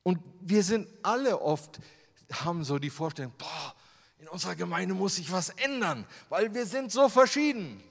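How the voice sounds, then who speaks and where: A man's voice: high-pitched, animated, moderate pace, creaky. A German man giving a sermon with slides, in a conversational way, full of rhetorical questions. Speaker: preacher. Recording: long hall reverb.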